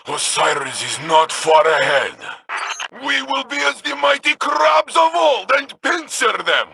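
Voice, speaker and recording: Casting: earnest game warrior, husky and forceful, swinging widely in pitch, declamatory. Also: male voice, full character voice. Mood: stern, then battle cry. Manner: formal, declamatory. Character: valiant, earnest armored warrior man, fiercely loyal. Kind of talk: video game voice acting.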